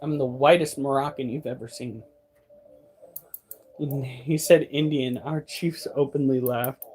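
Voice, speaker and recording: A man's voice: medium pitch, fairly even intonation, unhurried, darkish tone, slight background noise. A man in his thirties hosting a pet channel, talking casually and bluntly, breaking into profane rants. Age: 30s. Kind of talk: casual monologue.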